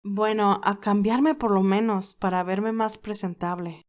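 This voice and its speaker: A woman's voice: mid-range voice, measured pace, darkish tone, lightly acted. A woman voicing a modest, kind, easily flustered young nurse in an audio fan drama. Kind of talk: acted audio fan fiction dialogue.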